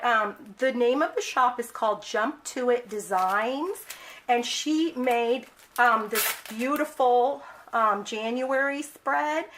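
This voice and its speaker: A middle-aged woman, talking casually and enthusiastically through a planner tutorial, with a raspy, congested voice from a cold. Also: fairly high pitch, a bit bright, slight background noise. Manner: friendly, enthusiastic, with frequent ums. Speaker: planner vlogger. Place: small home craft room.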